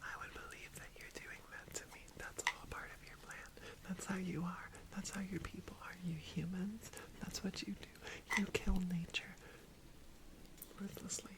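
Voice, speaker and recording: Woman playing a suspicious forest creature, whispered throughout, slow and repetitive. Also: fairly low pitch, level tone, lightly acted, low-quality recording. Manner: soft whispering, repeating phrases slowly. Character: wary, suspicious female nature being who distrusts humans. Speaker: ASMR performer. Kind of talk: ASMR roleplay.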